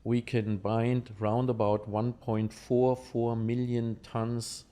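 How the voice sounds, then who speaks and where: A man from Germany with a German accent, explaining things conversationally and haltingly in an interview, checking in with his listener. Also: medium pitch, flat delivery, mellow, clear, resonant tone.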